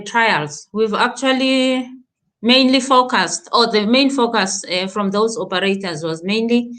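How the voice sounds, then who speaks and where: A woman from Africa, a regulator answering questions on a panel. She talks in a measured, hesitant way with many pauses and fillers. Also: on the high side, fairly bright tone. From South Africa.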